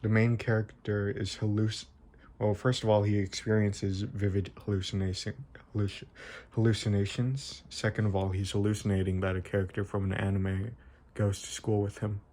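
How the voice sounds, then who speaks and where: A young man giving an informal explanatory video essay, with halting, tentative phrasing and frequent self-corrections. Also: fairly low pitch, little pitch movement, mellow. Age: young adult.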